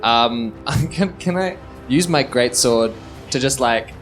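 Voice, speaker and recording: A woman's voice: very deep voice, lively intonation, unhurried. A woman playing a tabletop game live, speaking casually as she improvises her moves. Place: live on stage in a club. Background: music.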